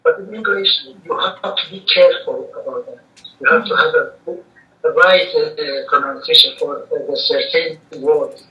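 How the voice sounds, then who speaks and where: A man's voice: on the high side, normal speed. Hesitant Kurdish-native young man, distant. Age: young adult. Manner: hesitant, searches for words with many uh's. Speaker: student being interviewed. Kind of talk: halting conversational answers. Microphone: video call. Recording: distant, echoey, dull call audio.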